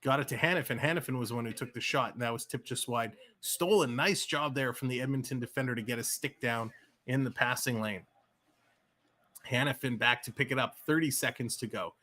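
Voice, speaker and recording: Canadian man, streamer doing play-by-play, reads the chat, laughs easily, bright tone. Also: mid-range voice, a little fast. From Toronto area, Canada. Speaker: livestream play-by-play host. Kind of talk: casual play-by-play with live chat.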